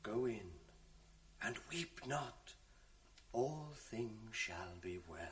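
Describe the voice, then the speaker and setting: An actor playing a scheming ensign in a radio drama of classical verse, soothing one moment and scolding the next. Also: male voice, fairly low pitch, animated, very slow, recorded from a distance, background noise. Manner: soothing then sharply scolding. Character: scheming male ensign, smooth villain.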